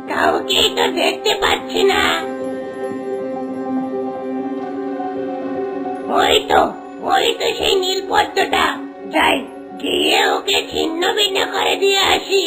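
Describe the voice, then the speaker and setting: A woman voicing a brutal male demon crow servant in a cartoon, gloating and cackling through a pitched-down monster effect. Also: heavily acted. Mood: searching. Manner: gloating, barking orders, cackling. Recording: music under the voice.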